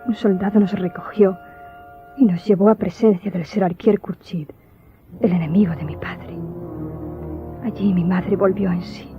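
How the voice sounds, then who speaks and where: A woman's voice: medium pitch, fairly even intonation, normal speed, long pauses, dull audio, lightly acted. Woman acting a solemn storytelling daughter over music. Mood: sorrowful. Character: grieving daughter solemnly recounting her tragic childhood. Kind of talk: dramatic scripted narration, radio drama.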